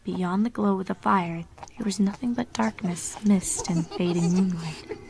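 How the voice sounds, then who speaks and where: A woman's voice: medium pitch, measured pace, vocal fry, darkish tone, a little hiss or hum. A woman reading horror fan fiction as a dramatic scripted narration.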